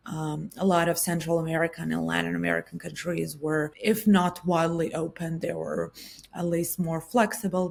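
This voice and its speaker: A woman's voice: low-pitched, gravelly, husky. A woman from Serbia, a guest expert answering interview questions in a careful, explanatory way with frequent hesitations. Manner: explanatory, many hesitation fillers.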